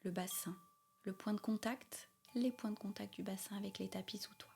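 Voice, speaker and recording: A woman leading a guided yoga nidra meditation in a soothing, unhurried way, with soft, breathy phrase endings. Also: medium pitch, fairly even intonation. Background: meditation music with chimes.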